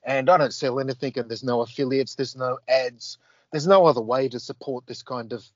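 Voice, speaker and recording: Rambling podcast host, dry sound. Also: male voice, mid-range voice, fairly even intonation, fairly quick, no echo, lo-fi sound. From Australia. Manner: rambling, many fillers and restarts. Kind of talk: casual podcast chat.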